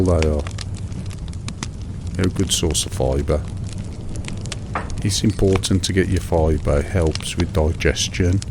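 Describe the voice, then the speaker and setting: A middle-aged British man in a monotonous, soothing one-sided chat, hesitant and rambling, repeating himself over mundane small details. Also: low voice, vocal fry. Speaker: host of a sleep relaxation show. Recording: steady background noise, fireside crackle.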